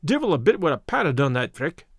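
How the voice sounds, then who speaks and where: A blustering middle-aged Irish husband from a storybook, played by a male narrator. He is gruff and sardonic with a soft heart underneath. The pitch is raised and very animated. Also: moderate pace, no room sound, studio quality, full character voice.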